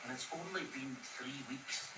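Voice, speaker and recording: An actor playing a patient, sensible ship's cook in a radio drama, matter-of-fact and gently chiding. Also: male voice, medium pitch, a little slow, natural voice. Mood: chiding banter. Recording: roomy, distant, noisy radio drama playback.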